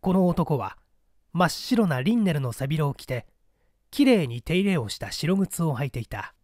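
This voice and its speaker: Expressive male narrator, close dry sound. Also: on the high side, normal speed, no room sound. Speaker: audiobook narrator. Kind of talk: scripted dramatic narration of a mystery story.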